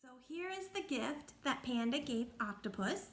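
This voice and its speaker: A woman's voice: sits high, lively intonation, moderate pace. A woman reading a picture book aloud to children like a teacher, explaining and asking the listeners questions. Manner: instructive, asks listeners questions.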